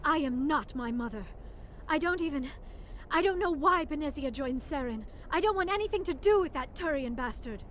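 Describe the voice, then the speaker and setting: A woman's voice: high-pitched, lively intonation, normal speed. A woman voicing an anxious young female alien archaeologist in a video game, speaking earnestly in careful, formal phrases. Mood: defensive. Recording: echoey, distant game audio with noisy ambience.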